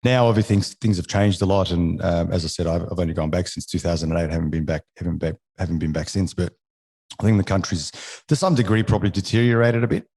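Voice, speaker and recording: A man's voice: low voice, steady tone, quick pace, a little mellow, breathy edge. A man in his forties from Egypt whose first language is French, a podcast guest talking casually, often restarting and ending sentences with "right?". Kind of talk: casual interview conversation.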